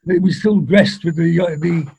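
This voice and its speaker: An older man with a British accent, a rabbi reminiscing conversationally as a guest, halting and repeating his words. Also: a little high, creaky, mellow, slightly rough. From England.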